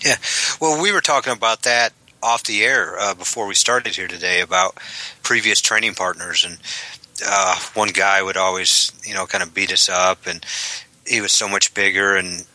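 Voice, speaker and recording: A man's voice: sits low, steady tone, bright, forceful tone, dry recording, low-quality recording. A man co-hosting a casual podcast, easygoing and agreeable, telling stories at length. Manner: easygoing, agreeable, drawn-out storytelling. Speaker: podcast co-host.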